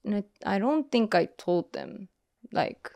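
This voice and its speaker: A woman guest telling her story in a casual interview, hesitant and soft-spoken, with many pauses and restarts. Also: mid-range voice, huge pitch swings, gravelly, dry recording. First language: English.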